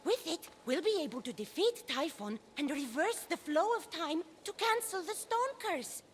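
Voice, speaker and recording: A woman's voice: very high-pitched, lively intonation, moderate pace, slight background noise. A woman voicing a small, angry, bossy child goddess in a video game, feisty and imperious, with music behind her. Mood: commanding. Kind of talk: animated video game voice acting.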